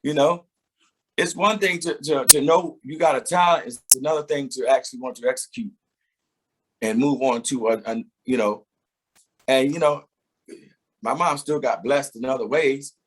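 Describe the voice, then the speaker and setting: A middle-aged man with a husky, slightly breathy voice speaks at an ordinary pitch. He tells his story reflectively in a relaxed interview, laughing here and there and repeating words as he gets going. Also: normal speed, pauses often, poor audio. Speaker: singer and interview guest. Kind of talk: casual conversational interview.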